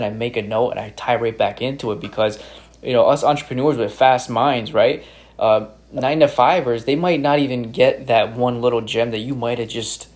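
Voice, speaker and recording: A man from upstate New York with a New York accent, hosting a podcast in a drawn-out, enthusiastic way. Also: medium pitch, a little mellow. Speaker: podcast host. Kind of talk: casual podcast chat.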